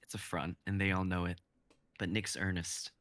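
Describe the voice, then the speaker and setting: A young man in his twenties reading stage directions as narrator of a live script reading, in an even manner. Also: low-pitched, normal speed. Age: 20s.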